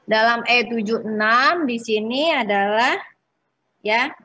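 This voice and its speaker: A woman working through exercises as an accounting instructor in an informal step-by-step lecture, often pausing and adding short check-in tags. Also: fairly high pitch, bright, forceful tone.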